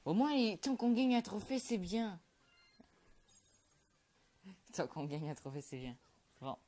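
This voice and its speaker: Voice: mid-range voice, very animated, takes it slowly, mellow. A young gamer, chatting casually over his gameplay in a mumbled, offhand way with lots of fillers. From Quebec, Canada. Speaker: gaming YouTuber.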